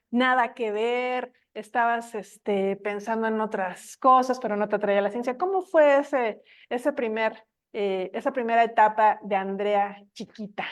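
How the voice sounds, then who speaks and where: A woman with a fairly high voice works as an interviewer. She puts her questions informally and conversationally, circling and restarting as she goes. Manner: informal, halting, rambling questions.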